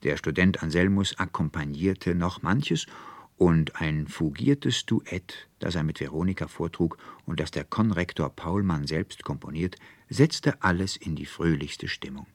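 A man narrating a literary fairy tale in a measured, expressive storytelling manner. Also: low voice, no echo. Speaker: audiobook narrator.

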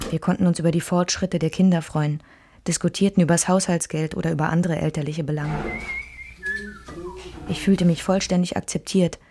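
An actress playing a reflective wife who narrates life between two men in a scripted radio drama. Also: female voice, fairly low pitch, fairly even intonation, fairly quick, noisy background, natural voice.

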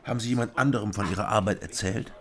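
An actor playing a curious, persistent investigator in a radio crime drama, questioning and narrating in a restrained, matter-of-fact way. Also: male voice, on the low side, steady tone, rapid-fire, very noisy, lightly acted. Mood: businesslike.

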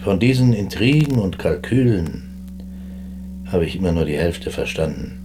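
An actor playing a weary, proud retired German army chief with dry, dismissive, clipped remarks in a radio drama. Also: male voice, sits low, lively intonation, normal speed, over music. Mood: bitter, disdainful.

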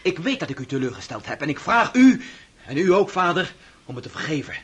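A man's voice: on the high side, big pitch swings, fairly quick, slightly rough. An actor playing a young officer son, earnest and defiant toward his mother. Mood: pleading. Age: young adult. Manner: earnest and pleading. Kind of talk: radio drama acting.

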